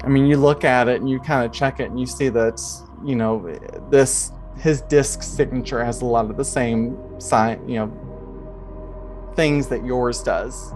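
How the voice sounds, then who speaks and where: A man acts as game master in a tabletop session. His voice sits at an average pitch, and he tells the scene in a loose, halting, conversational style. Faint music plays behind him. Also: fairly even intonation, darkish tone. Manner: casual, halting, conversational delivery. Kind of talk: improvised tabletop game narration. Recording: music under the voice.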